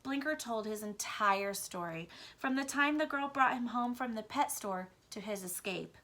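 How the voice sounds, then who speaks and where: A woman's voice: on the high side, animated, moderate pace, pushed and bright. A woman teacher reading a children's book aloud and explaining it to young pupils.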